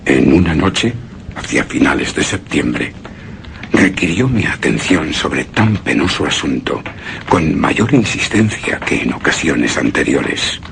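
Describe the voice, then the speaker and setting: An actor playing a haunted, anguished husband who narrates a gothic horror tale in a radio drama, with heavy dramatic pauses. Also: male voice, a bass voice, sing-song, gravelly, background noise, full character voice. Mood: grave, brooding. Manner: slow, brooding, dramatic delivery with heavy pauses.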